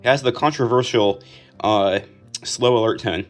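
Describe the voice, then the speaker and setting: A man's voice: mid-range voice, moderate pace, faint music behind. A young American man giving a casual, informal, matter-of-fact walk-through of a personal collection. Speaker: collector presenting own collection. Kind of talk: casual collection showcase narration.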